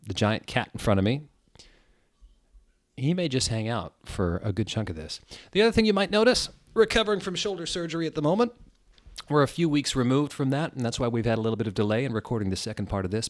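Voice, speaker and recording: A man's voice: mid-range voice, lively intonation, a little fast, no room sound, clean studio sound. A man hosting a dramatic comedic reading, theatrical and wry, with casual asides. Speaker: host reading fan fiction aloud.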